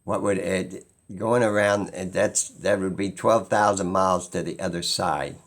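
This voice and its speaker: A man's voice: sits low, fairly even intonation, a little slow. A man giving an unscripted opinion monologue as a video creator, rambling and insistent, repeating phrases for emphasis.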